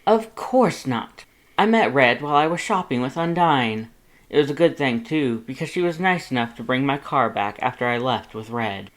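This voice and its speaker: A man's voice: mid-range voice, normal speed, natural voice. A man voicing a pompous, dramatic male skeleton with a grandiose, formal, over-enunciated way of talking. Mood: defensive, earnest.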